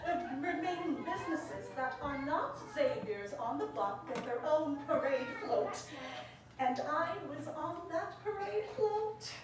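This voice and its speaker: A woman's voice: high voice, animated, unhurried, background noise. A woman playing a coy, haughty shop owner in a 1940s radio drama, with an airy, affected manner. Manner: airy, affected, calling people darling. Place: theater stage, live performance. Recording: echoey stage, distant mic, audience.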